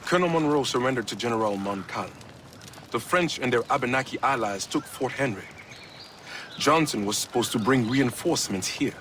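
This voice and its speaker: A man's voice: medium pitch, expressive, brisk, a bit bright, slight background noise, close to an everyday voice. An actor voicing an earnest male soldier who gives an urgent, worried report. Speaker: game character, soldier. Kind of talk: cinematic game voice acting.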